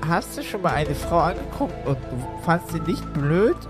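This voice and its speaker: A man's voice: fairly high pitch, very animated, normal speed, music in the background. A man performing theatrically in an improvised comedy audio play as part of a troupe.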